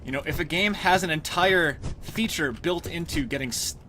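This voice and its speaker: A man's voice: on the high side, normal speed, pushed and bright. A man giving casual, tongue-in-cheek live commentary as he speedruns a video game. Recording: clear background noise from game sounds. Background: game sounds and clicks.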